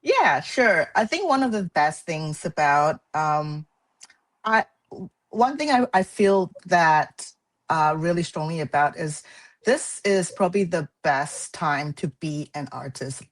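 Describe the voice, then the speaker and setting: Low-voiced woman artist from Malaysia. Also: expressive. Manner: soft-spoken, thoughtful, frequent "um" pauses. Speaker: guest artist. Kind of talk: casual interview.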